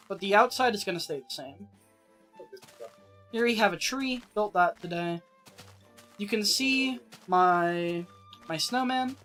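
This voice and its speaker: A streamer chatting casually through a let's-play, rambling with lots of filler words and getting a little raspy. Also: medium pitch, a bit bright. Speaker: streamer playing an old game version.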